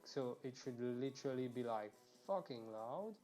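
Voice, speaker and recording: A young man talks loosely to his viewers while he plays, drifting between topics and cursing without a second thought. His voice sits at an ordinary middle pitch and comes through a quiet earphone mic, with faint game music underneath. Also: animated, normal speed. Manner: rambling and chatty, swears freely, talks to viewers. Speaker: streamer. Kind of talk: casual live game stream chat. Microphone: earphones mic, quiet and low in level.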